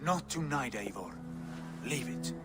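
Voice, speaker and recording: An actor playing a bold, boastful Viking lord in a video game, with a grandiose, commanding delivery. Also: male voice, medium pitch, animated, measured pace, loud music behind the voice, natural voice. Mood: calming, firm. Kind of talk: dramatic video game acting.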